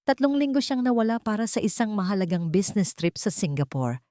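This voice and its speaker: A woman's voice: mid-range voice, sing-song, brisk, warm tone, no room sound, clean studio sound. A woman storyteller reading a scripted, dramatic tale as narrator.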